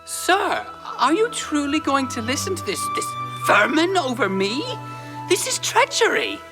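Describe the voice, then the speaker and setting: A woman's voice: sits high, very animated, unhurried, music in the background. A woman voicing a scheming, whiny, theatrical male villain with a sneering, melodramatic manner in a family audio drama. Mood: outraged.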